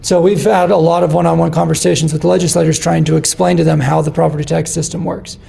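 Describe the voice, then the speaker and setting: A man moderating a city policy committee meeting, brisk and organized, often repeating words as he goes. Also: a little high, gravelly, mellow.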